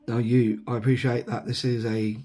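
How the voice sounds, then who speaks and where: A man's voice: mid-range voice, little pitch movement, moderate pace, dark tone. A man with an English accent, losing his voice with a sore throat, rambling casually to camera with lots of ums and self-corrections. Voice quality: hoarse. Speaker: vlogger. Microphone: camera mic, seated at camera.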